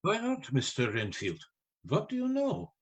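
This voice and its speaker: A man playing a learned, commanding vampire hunter in a radio play, with formal, deliberate oratory. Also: high voice, huge pitch swings, exaggerated voice. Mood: calm, probing.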